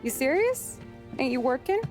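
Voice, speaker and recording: A woman's voice: high-pitched, sing-song, normal speed. A woman playing a shrewd, wry, suspicious American gangster's wife in a cinematic crime game, sardonic and probing in colloquial speech. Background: music.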